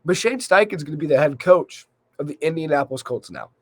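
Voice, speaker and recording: Higher-voiced man hosting sports talk, slight cold. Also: expressive, brisk, husky. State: slight cold, losing his voice a little. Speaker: sports podcast host. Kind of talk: solo sports recap commentary.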